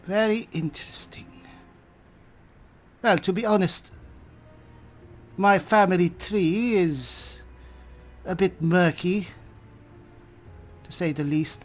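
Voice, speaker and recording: A man playing a haughty, wry high elf spellsword in a fantasy game roleplay, speaking deliberately with musing pauses. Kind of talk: roleplay acting over a fantasy video game.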